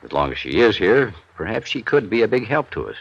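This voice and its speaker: An actor playing a dignified, anxious senior businessman in 1950s radio drama, speaking in a measured, persuasive way. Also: on the low side, very animated, husky, full character voice. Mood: earnest, persuasive. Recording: muffled old radio broadcast recording.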